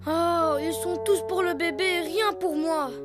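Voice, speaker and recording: A very high, childlike cartoon turtle boy, eager and easily hurt. He speaks in a slow, plaintive way, with music clearly playing behind him. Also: steady tone. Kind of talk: French cartoon dubbing.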